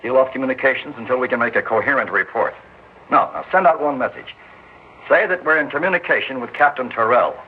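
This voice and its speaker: An actor playing a commanding army general who barks orders in an old radio drama. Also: male voice, mid-range voice, quick pace, natural voice. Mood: barking. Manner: barking orders, brusque. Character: commanding male army general, gruff and blustering. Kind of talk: 1960s radio drama acting. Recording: tinny old radio broadcast with hiss.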